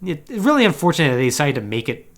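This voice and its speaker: A man hosting a podcast, casually retelling a video game plot in a slangy, animated, joking way. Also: fairly high pitch, fast. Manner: animated, slangy storytelling with mocking acted-out quotes. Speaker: podcast host.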